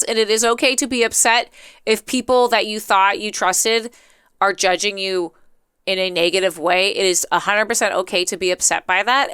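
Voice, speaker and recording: A woman chatting casually as a live streamer, talkative and rambling, with an expressive, playfully dramatic way of talking. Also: on the high side, clear, resonant tone, dry recording, studio quality. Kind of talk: casual chatty livestream commentary.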